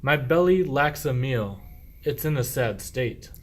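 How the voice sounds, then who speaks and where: A man's voice: medium pitch, expressive, measured pace. A man playing a sly, boastful, self-pitying sheep thief in a medieval verse radio play, with declamatory rhyming speech. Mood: complaining.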